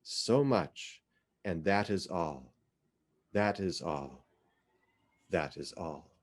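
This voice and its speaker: A man reads poetry in a fairly low voice. His delivery is very slow and deliberate, with theatrical phrasing that gives each image its own space. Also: lively intonation. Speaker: literary reader. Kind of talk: dramatic poetry reading.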